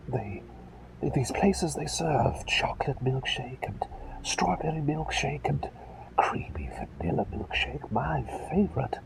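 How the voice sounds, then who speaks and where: A man acts out an eccentric plague doctor for an ASMR roleplay. The voice is mostly a whisper with a gravelly creak, swinging widely up and down. He rambles in polite, old-fashioned phrases, absent-minded and hesitant. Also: medium pitch, full character voice, low-quality recording. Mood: playful, confiding. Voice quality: breathy whisper with creaky edges.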